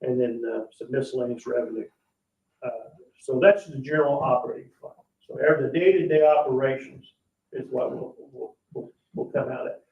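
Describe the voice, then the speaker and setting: Distant, echoey man explaining finances haltingly. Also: medium pitch, steady tone. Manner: halting, plain-spoken, checks understanding with "okay?". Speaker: parks board official presenting the budget. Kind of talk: explaining finances at a public meeting. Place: indoor public board meeting room. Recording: echoey meeting room, distant dull mic.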